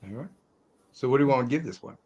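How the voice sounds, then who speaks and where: A man's voice: mid-range voice, lively intonation, fast talker, a little mellow, breathy edge, lo-fi sound. Middle-aged man hosting casual film chat. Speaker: host of a movie review show. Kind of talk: casual movie discussion.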